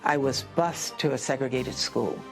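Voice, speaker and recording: Low-voiced woman giving a formal speech. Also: moderate pace, over music. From southern United States.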